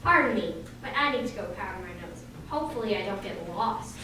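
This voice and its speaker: A woman's voice: mid-range voice, expressive, a little fast, lightly acted. A woman playing a haughty, dismissive former mean girl in a radio drama. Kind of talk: stage radio drama acting. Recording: very echoey hall, distant mic, clear noise.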